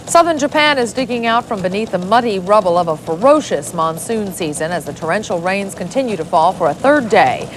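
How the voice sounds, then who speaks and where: A woman anchoring a television news bulletin, reading the headlines in a crisp, measured way. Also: mid-range voice, animated, a little hiss or hum.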